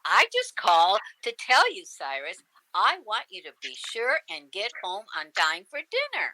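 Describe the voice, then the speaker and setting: Woman acting a piercingly bright, sugary wife. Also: medium pitch, wildly swooping pitch, normal speed, poor audio. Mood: sweet, coaxing. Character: sugary, doting wife on video call. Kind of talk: radio comedy acting.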